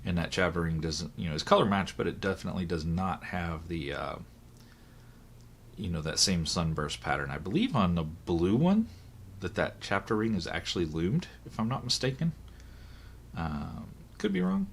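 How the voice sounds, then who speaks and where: A hoarse man, voice still recovering from illness, chatting casually through a product unboxing, thinking aloud with fillers and corrections. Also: sits low, animated, normal speed, a little hiss or hum. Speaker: watch reviewer.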